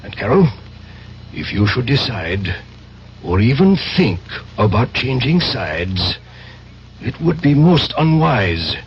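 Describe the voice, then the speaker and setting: Cold, imperious spymaster, muffled. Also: male voice, mid-range voice, very animated, slow pace. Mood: cold, menacing. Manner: imperious, veiled menace. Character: cold, commanding male spymaster. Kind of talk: 1970s radio drama acting. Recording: muffled, noisy old radio drama recording.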